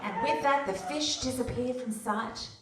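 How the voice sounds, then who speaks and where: A woman's voice: fairly high pitch, a little slow. A woman narrating a radio play as live storytelling. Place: hall, live performance. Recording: very echoey hall, distant, faint noise.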